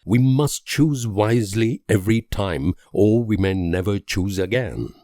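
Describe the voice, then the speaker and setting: An actor playing a grave, idealistic and world-weary political leader in a strategy game, speaking in measured, solemn oratory with dramatic pauses. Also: male voice, lowish voice, lively intonation, mellow, dry recording, studio quality.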